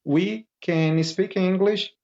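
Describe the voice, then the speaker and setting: A man's voice: high-pitched, fairly even intonation, slow pace, creaky, a little mellow, dull audio. A man in his late thirties from Brazil, an English teacher hosting a podcast chat in English with a Brazilian accent. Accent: Brazilian Portuguese. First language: Portuguese. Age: 30s.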